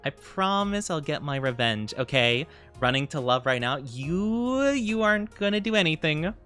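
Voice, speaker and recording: A man's voice: fairly high pitch, expressive, normal speed, fairly bright tone, faint music behind. A man streaming live, chatting casually with viewers in a playful, mock-offended way, laughing often, his voice wearing thin. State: losing his voice. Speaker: VTuber streamer.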